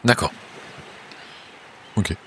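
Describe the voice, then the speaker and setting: A man running a tabletop horror game as game master, narrating scenes to a player in a halting way with many hesitations. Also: pushed and bright, a little hiss or hum. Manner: hesitant, many filler sounds and restarts; second-person scene narration.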